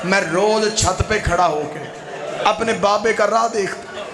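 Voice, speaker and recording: A man's voice: high-pitched, a little slow, gravelly, roomy sound, background noise, pitched above the performer's own voice. A man voicing a proud, sorrowful young boy who speaks tearfully and earnestly.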